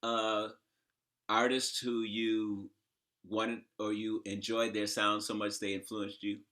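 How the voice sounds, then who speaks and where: A man hosting a discussion, talking off the cuff in a halting, emphatic and opinionated way. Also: fairly low pitch, level tone, pushed and bright. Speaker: host interviewer. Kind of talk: conversational, off-the-cuff commentary.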